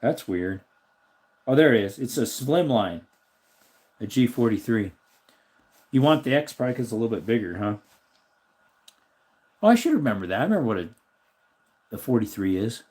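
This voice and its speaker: A man hosting a casual live stream, answering viewer comments and thinking aloud as he looks things up. His voice is slightly raspy. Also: medium pitch, big pitch swings, normal speed, long pauses, a little mellow. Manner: rambling, thinks aloud while looking things up.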